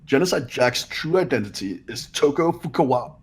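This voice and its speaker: A man's voice: mid-range voice, lively intonation, moderate pace, slightly rough. A man voicing a haughty, cold young rich genius who makes accusing declarations in an amateur game dub. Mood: smug, certain.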